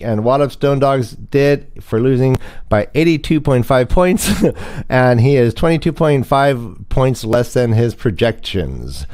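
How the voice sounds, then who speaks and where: A man's voice: medium pitch, a little mellow, clean tone. A man hosting a casual fantasy football show, bantering and announcing with jokey, theatrical emphasis. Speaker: livestream show host.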